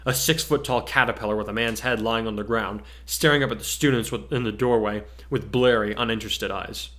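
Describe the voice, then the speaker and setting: A man's voice: lowish voice, steady tone, a little fast, sharp tone. A man reading scripted fiction aloud as a narrator, in a dramatic story-reading style.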